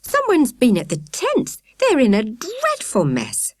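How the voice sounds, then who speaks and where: A woman voicing an excitable mother at a children's camp, crying out in breathless, emphatic alarm. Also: high voice, slow pace, dry recording, clean studio sound, pitched above the performer's own voice, full character voice.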